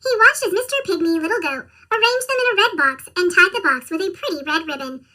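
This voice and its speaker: Squeaky chipmunk-pitched woman storyteller. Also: animated, fast talker, sharp tone, recorded from a distance. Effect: sped-up chipmunk voice. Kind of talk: children's storybook narration.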